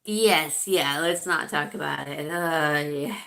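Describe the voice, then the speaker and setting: Slow-talking congested woman chatting. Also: low-pitched, creaky, bright, forceful tone. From California, USA. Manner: rambling, chatty, reads viewer comments aloud. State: congested, sniffling. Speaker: livestream host chatting with viewers. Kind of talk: casual livestream chat.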